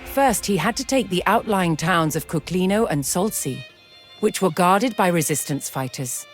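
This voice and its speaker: Female narrator, measured and solemn, scripted historical game storytelling with prominent music behind. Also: on the low side, expressive.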